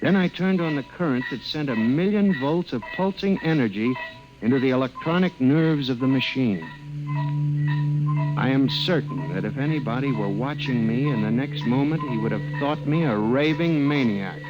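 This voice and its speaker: A man's voice: mid-range voice, moderate pace, muffled recording, lightly acted. An actor playing an anxious male office scientist narrating his descent into paranoia in an old-time radio drama, with a tense, melodramatic delivery. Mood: grim. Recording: vintage radio drama with music underneath.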